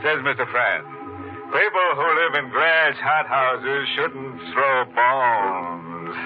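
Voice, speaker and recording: An actor playing a macabre, punning, mock-jovial horror host, wry and gleeful, in 1940s radio style. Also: male voice, mid-range voice, lively intonation, slow pace. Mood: ghoulishly amused. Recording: muffled 1940s radio recording, music behind.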